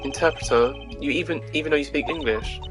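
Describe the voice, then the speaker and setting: Young male attorney for a game voiced by a woman: lowered pitch, matter-of-fact, wry, earnest, over music. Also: quick pace, lightly acted. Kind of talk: amateur video game character voice-over.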